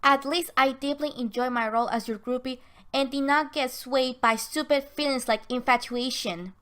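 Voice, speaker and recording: A woman's voice: high-pitched, normal speed, a bit bright. Woman voicing an indignant, defensive married man. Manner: earnest, emphatic, protesting. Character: defensive, indignant married man facing his old flame.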